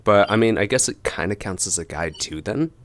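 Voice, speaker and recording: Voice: low voice, animated, fairly quick, slight background noise. A streamer reacting casually to a game, playful, breaking into singsong noises and little sound effects. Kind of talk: casual live reaction chat.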